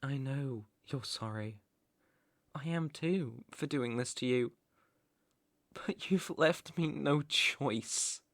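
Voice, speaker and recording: Man acting a slow-spoken, menacing British mafia boss. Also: on the high side, expressive, vocal fry, no room sound, heavily acted. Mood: soft, condescending. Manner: slow, deliberate, brooding, condescendingly affectionate. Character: possessive, unstable male mafia boss, menacingly tender ex-lover. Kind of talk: ASMR roleplay, dramatic monologue.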